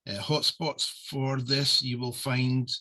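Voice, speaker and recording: A man's voice: mid-range voice, level tone, takes it slowly, bright, forceful tone. A man with a Scottish accent giving a friendly, loosely spoken webinar presentation as a trade office deputy director, on a video call. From Scotland. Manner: friendly, loose, with many uh fillers.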